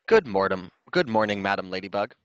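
A man's voice: lowish voice, big pitch swings, fairly quick, no room sound, muffled recording. A man voicing a muddled, polite, near-sighted hedgehog in a children's storybook, earnest and exclaiming. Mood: hopeful, polite.

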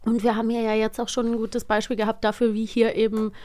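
A woman in her thirties with a slightly high voice. She chats casually as a podcast co-host, discussing and reviewing in a conversational style. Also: little pitch movement, fast talker, darkish tone. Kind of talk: casual chat, reviewing audio dramas.

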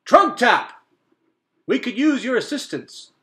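A man voicing a jolly, confident male beaver handyman in a storybook reading, booming and slow. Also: big pitch swings, bright, forceful tone, heavily acted. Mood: hearty.

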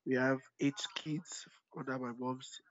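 A man's voice: mid-range voice, little pitch movement, unhurried. A man in his late twenties with a Nigerian accent, a guest answering interview questions about his background. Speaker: interview guest, author and activist. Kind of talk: casual interview. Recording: dry, slightly dull call line.

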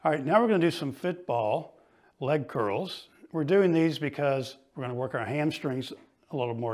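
A middle-aged man coaching ski fitness, explaining each exercise calmly while he demonstrates it in a studio. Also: medium pitch. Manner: patient, explanatory, talks while moving.

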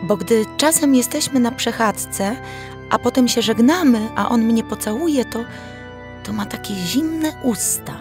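A woman's voice: on the high side, animated, a little slow, over music, pitched above the performer's own voice. A woman voicing a sweet, trusting young village maiden who talks in a hesitant, confiding way in a fairy tale.

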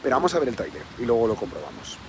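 A man's voice: fairly low pitch, huge pitch swings, rapid-fire, poor audio. A man in his forties talks through a film review straight to a phone held at arm's length, sounding eager and chatty. He is out in an open-air lot, with a faint wash of ambient noise around him. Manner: enthusiastic, chatty selfie presenting. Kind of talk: casual film review to camera. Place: outdoor drive-in cinema lot.

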